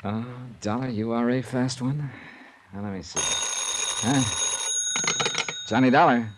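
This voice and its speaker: An actor playing a wisecracking, flirtatious insurance investigator in a 1950s radio drama, wry and smooth in his storytelling. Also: mid-range voice, a little slow, heavy background noise, natural voice. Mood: breezy, flirtatious. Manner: wry, hesitant in dialogue, crisp storytelling in narration. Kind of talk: 1950s radio drama acting with first-person narration. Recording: 1950s radio recording with organ-era music.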